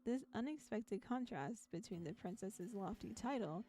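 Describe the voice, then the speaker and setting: A woman's voice: medium pitch, expressive, moderate pace, warm tone. A woman streaming a visual novel, moving between reading aloud and casual commentary in a chatty, giggly manner. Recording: background music behind voice. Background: music.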